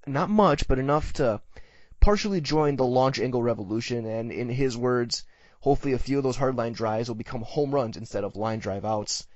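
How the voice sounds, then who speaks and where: A man's voice: medium pitch, moderate pace, darkish tone, dry recording. American guy hosting a sports podcast, chatty news commentary, dry muffled recording.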